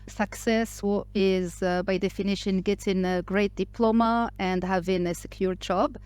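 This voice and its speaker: A woman's voice: medium pitch, steady tone, moderate pace, darkish tone, clean tone. Hesitant woman from Morocco, interview guest. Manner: speaks English with frequent fillers, restarts and hesitations. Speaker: podcast guest, entrepreneur. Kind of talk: casual interview talk.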